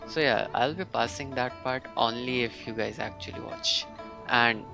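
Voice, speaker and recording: A young adult man giving casual live gameplay commentary as a streamer, halting and musing aloud. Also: mid-range voice, creaky. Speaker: game streamer. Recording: loud game music behind the voice. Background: video game music.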